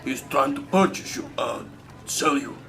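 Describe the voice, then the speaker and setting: A man voicing a gruff alien who speaks halting, broken English in clipped phrases in an audio drama. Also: medium pitch, bright, forceful tone. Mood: guarded, halting.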